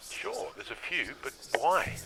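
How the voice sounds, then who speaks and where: A man's voice: a little high, big pitch swings, unhurried, vocal fry, over music, exaggerated voice. An actor playing a hesitant, resigned male helper in an audio horror drama. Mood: doubtful, resigned.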